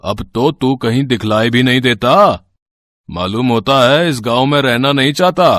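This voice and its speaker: A man playing a stern, contemptuous village landlord in an audio story, gruff and haughty. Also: medium pitch, unhurried, fairly bright tone, no room sound, studio quality, close to an everyday voice. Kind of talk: acted Hindi audio story dialogue.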